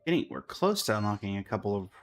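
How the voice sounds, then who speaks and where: A man's voice: fairly low pitch, very animated, fast talker, faint music behind. A man livestreaming a game, chatting casually and in a friendly way with viewers while commenting on his play.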